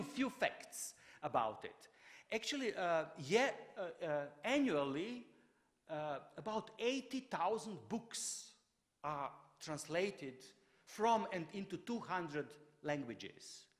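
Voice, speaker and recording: A fairly high-voiced man lectures in a lively, rising and falling tone. He stumbles through many fillers and restarts. His voice carries a long reverb from a large lecture hall. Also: slow pace. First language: Croatian. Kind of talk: public lecture.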